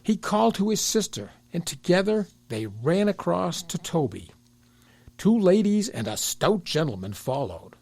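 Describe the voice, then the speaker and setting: A man's voice: high-pitched, sing-song, measured pace, gravelly, a little mellow, no echo. A man narrating a children's storybook tale as part of an audio drama.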